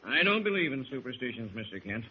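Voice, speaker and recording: An actor playing a stern, stubborn sailing ship captain with a blustery, dismissive manner in 1940s radio style. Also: male voice, mid-range voice, sing-song, fast, natural voice. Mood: defensive, indignant. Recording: muffled, noisy old radio recording.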